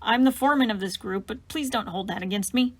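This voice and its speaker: Lady putting on a gentle awkward work-crew boss, lots of ums and uhs, folksy. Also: on the high side, fast, lightly acted. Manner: hesitant, folksy, apologetic. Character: kindly awkward male construction foreman.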